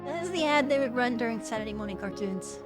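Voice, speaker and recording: A woman's voice: fairly high pitch, expressive, fast talker, over music. A woman hosting a livestream, giving casual comedic commentary in a mocking, joking way. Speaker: livestream host reacting to old films.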